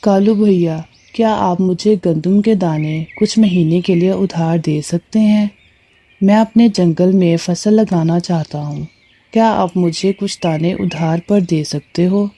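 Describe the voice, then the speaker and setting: A woman's voice: fairly low pitch, measured pace, warm tone, dry recording. A woman playing a helpful, cautious male bird in a moral story cartoon, speaking earnestly. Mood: polite, pleading.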